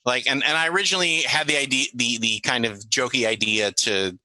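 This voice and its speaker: A man's voice: mid-range voice, pushed and bright, dry recording, poor audio. A man acting as game master, improvising narration of a tabletop game and often restarting his phrases. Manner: halting, repeats and restarts phrases.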